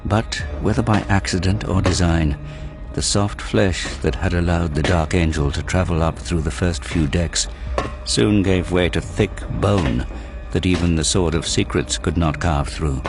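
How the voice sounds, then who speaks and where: A man with a deep, low-pitched voice narrates an audio drama. His delivery is scripted, measured and grave. Music plays clearly behind him.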